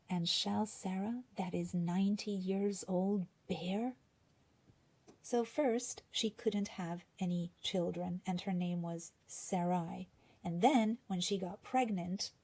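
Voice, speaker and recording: A woman with a Hungarian accent talks through a lesson at an unhurried pace, sinking now and then into a whisper on the words she stresses. Her voice is mellow and dark, with a gravelly fry running underneath. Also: fairly low pitch. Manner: slow and deliberate, drops to whispers on key words. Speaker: language teacher. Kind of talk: explanatory lesson reading scripture.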